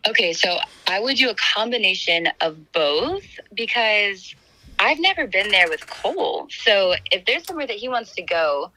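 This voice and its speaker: A woman with a slightly raspy voice, calling in to a dating segment, talking in a friendly way and laughing often. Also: mid-range voice, big pitch swings, moderate pace, bright, forceful tone, poor audio.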